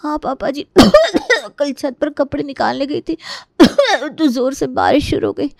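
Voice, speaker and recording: Young scheming daughter-in-law, voiced by an actress, high pitch, meek on the surface, animated family drama, dry sound. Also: female voice, unhurried, gravelly, no room sound, heavily acted. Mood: meek, ailing. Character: sly young daughter-in-law Shravani, feigning illness. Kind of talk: Hindi animated moral story acting.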